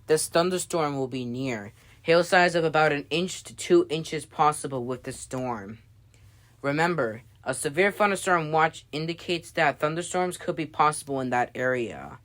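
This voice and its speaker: An emergency alert announcer delivers scripted warnings in a medium-pitched voice. The delivery follows the format of an official bulletin. The sound is dry, with very little room around the voice. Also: fairly bright tone, no room sound.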